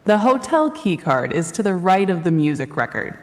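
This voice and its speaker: A synthetic female AI assistant voice answering questions in a calm, informative way. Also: lowish voice, moderate pace, noisy background. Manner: calm, informative, even delivery. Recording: echoey hall reverb.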